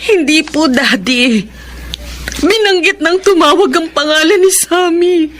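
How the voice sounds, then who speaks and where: A woman's voice: very high voice, a little slow, background noise. A woman with a Filipino accent playing an emotional, heartbroken betrayed wife and dutiful daughter in a radio drama. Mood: pained, shaken. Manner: emotional, tearful, melodramatic. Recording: drama music under the voice.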